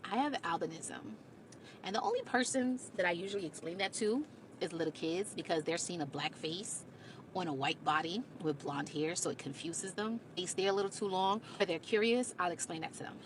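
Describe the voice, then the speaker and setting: A woman's voice: on the low side, a little fast, poor audio. A woman telling personal stories in a candid, blunt and emphatic way. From Caribbean. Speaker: video creator. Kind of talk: candid personal storytelling to camera. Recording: faint background noise.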